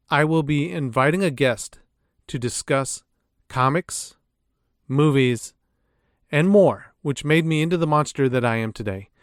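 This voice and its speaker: A man's voice: a little high, no room sound, studio quality. A man hosting a podcast, reading a scripted introduction with casual asides in a theatrical, playful storyteller's phrasing. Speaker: podcast host.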